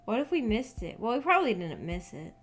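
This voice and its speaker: A woman's voice: a little high, very animated, fairly quick. Hesitant young American woman streamer over music. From United States. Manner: hesitant, thinking aloud, trailing off. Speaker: live streamer, visual novel book club host. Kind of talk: casual livestream chat with viewers. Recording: background music under the voice. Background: background music.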